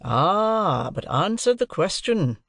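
A man performs a domineering, middle-aged lawyer in an audiobook. He is brusque and emphatic, as if questioning a witness. The pitch is high and swings widely in a dry, close recording. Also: sing-song, gravelly, a bit bright, no echo, heavily acted. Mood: emphatic, formal. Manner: cross-examining, emphatic, deliberate. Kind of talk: audiobook character dialogue.

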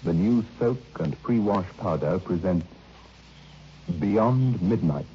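A man making a formal, deliberate sponsor announcement in vintage radio style. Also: low voice, expressive, a little hiss or hum. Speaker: programme announcer. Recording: dull old radio recording, faint music.

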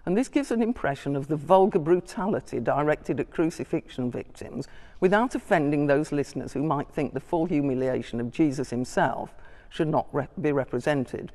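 Older female lecturer, measured and wry, distant mic, long church reverb. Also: low voice, lively intonation, dark tone. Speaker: guest lecturer. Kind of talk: lecture read from notes. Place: large church, indoors. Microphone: far camera.

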